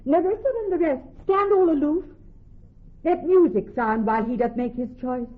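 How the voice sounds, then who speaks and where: Actress as noble lovestruck heiress, muffled radio sound. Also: female voice, high-pitched, normal speed, lightly acted. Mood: anxious, tender. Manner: formal, theatrical verse delivery. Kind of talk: classical Shakespearean radio acting. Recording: muffled, band-limited old radio recording.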